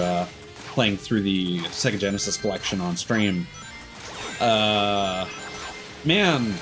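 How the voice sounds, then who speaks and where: A man gives loose, rambling commentary on a game livestream. He is critical and blunt, with casual swearing. His voice sits at an ordinary male pitch, and game music plays clearly behind him.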